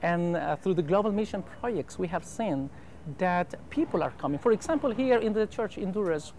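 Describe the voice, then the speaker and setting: Salvadoran pastor, careful accented English. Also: male voice, high voice, lively intonation, a little mellow, slight background noise. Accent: Salvadoran Spanish. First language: Spanish. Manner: earnest, careful English with filler pauses. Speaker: pastor and mission president. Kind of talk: interview answers. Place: outdoor rooftop terrace over a harbor. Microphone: lapel.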